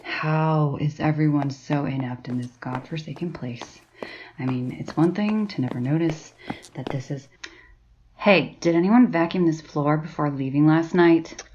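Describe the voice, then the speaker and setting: A woman's voice: low voice, a little slow, darkish tone. A woman playing an exasperated, suspicious librarian in a fiction podcast, sardonic, sweary and grumbling. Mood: bitter muttering. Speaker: actor in an audio drama. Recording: dull lo-fi sound with clear noise.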